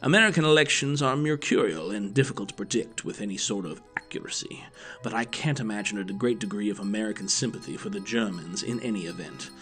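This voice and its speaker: A man's voice: on the low side, quick pace, fairly bright tone, faint music behind, lightly acted. A man playing an aloof, analytical detective in an audio drama, lecturing in a detached, precise way.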